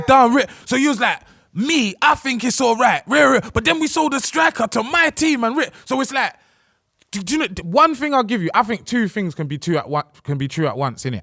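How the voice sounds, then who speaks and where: Bloke hosting a football pod, teasing, bit high voice, dead dry mic. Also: male voice, a bit bright, no echo. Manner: teasing, laughs often, talks over others. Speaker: podcast host. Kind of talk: football podcast banter.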